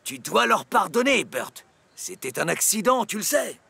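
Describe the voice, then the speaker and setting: A kindly, patient cartoon engine. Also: male voice, high voice, very animated, moderate pace, creaky, background noise, heavily acted. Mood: soothing. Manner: soothing, reassuring. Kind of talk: dubbed children's cartoon acting. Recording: studio dub over loud music.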